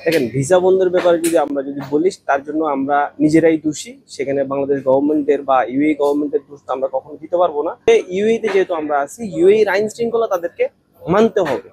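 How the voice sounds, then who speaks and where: A man's voice: fairly high pitch, lively intonation, fast talker, vocal fry, darkish tone, breathy edge, no room sound, rough recording. A man being interviewed, giving his opinion off the cuff in an emphatic, repetitive way.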